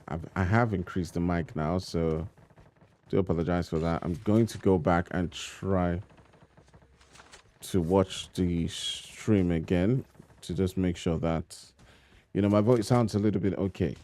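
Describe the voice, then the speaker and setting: A man's voice: low voice, fairly even intonation, a little slow. A man casting a live mobile shooter tournament, talking to viewers in long running phrases with chatty asides. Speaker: esports caster. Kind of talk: live play-by-play commentary. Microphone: home streaming mic. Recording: live stream with loud game gunfire behind. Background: game gunfire and clicks.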